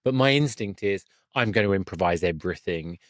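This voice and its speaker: A man's voice: low voice, expressive, a little fast, a bit bright, dry recording, studio quality. A man hosting a podcast, interviewing a guest in a casual, conversational style.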